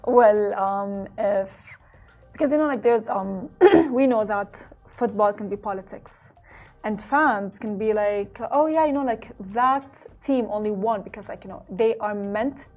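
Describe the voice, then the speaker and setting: A woman's voice: mid-range voice, unhurried, darkish tone. A woman co-hosting an interview, chatty and casual, filling her questions with "like" and "you know". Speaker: co-host.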